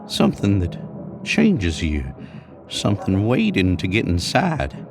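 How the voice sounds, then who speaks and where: A man's voice: low-pitched, huge pitch swings, unhurried, over music, voice pushed up, full character voice. A man voicing a craggy, sly cowboy outlaw who tells campfire tales in a folksy frontier drawl for a Western audio story. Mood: ominous.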